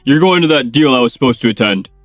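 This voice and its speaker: A man's voice: medium pitch, animated, fast talker, fairly bright tone, faint music behind, lightly acted. A man playing a domineering company boss. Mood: bossy. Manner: bossy, domineering. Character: arrogant middle-aged male company president. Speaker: company president in a manga dub.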